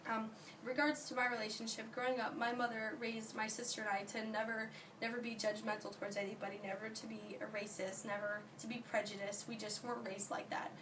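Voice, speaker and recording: A young woman who is hoarse from being sick gives an earnest class speech, halting often and restarting her sentences. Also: fairly high pitch, flat delivery. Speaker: student giving a speech. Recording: distant mic, faint ambient noise.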